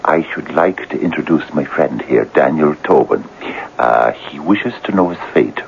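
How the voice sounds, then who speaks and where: An actor playing a grandiloquent, persuasive pal who philosophizes in florid, pompous speeches in a radio drama. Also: male voice, extremely low pitch, moderate pace, gravelly. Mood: businesslike. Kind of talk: 1970s radio drama acting. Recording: tinny, noisy old radio broadcast.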